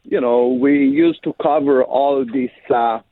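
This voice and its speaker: A man born in Africa, a singer and producer, answering radio interview questions by phone with many pauses and fillers. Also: mid-range voice, fairly even intonation, dry recording. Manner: halting, many fillers, slow and careful. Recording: tinny phone line.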